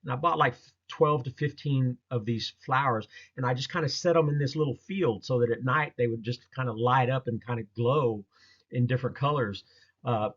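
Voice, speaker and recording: A man talking casually through a slideshow of his own experiences, in a halting, rambling, folksy way. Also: mid-range voice. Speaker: presenter recounting a field experience. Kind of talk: casual narrated slideshow storytelling. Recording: dull lo-fi audio.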